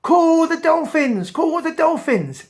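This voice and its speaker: A woman voicing a group of eager, excitable dolphins in a children's story, calling out urgently. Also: sits high, unhurried, creaky, voice pushed up, full character voice. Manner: urgent, shouting.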